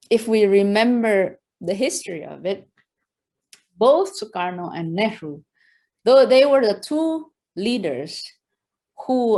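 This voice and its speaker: A woman's voice: mid-range voice, darkish tone. A woman from West Java with an Indonesian accent, giving a lecture and quizzing her audience with questions. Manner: engaging, quizzes the audience, frequent rhetorical "right?"; enthusiastic, laughs often. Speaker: guest lecturer.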